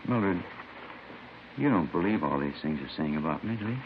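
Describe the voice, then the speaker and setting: Evasive accused husband, American man, low voice, defensive, vintage radio drama, narrow hissy recording. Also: expressive, moderate pace. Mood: cornered, shaken. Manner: defensive, dismissive denials. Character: indignant, evasive husband accused of arranging murder. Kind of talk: 1950s radio drama acting.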